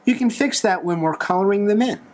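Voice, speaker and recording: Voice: medium pitch, a little fast. A tutorial host talking through a drawing step by step in a playful, encouraging manner. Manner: playful, light humour, encouraging.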